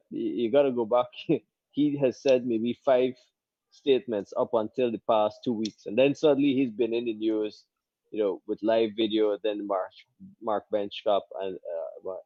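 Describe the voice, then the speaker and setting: A man's voice: lowish voice, dry recording. A man giving conversational analysis as a guest on a political panel. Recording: dull, dry, lo-fi audio.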